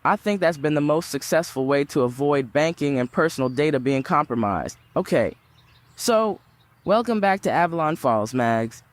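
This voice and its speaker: A man's voice: fairly high pitch, animated, normal speed, no echo, natural voice. A man playing an awkward, eager rickshaw driver in an audio drama, hesitant, stammering and nervously chatty.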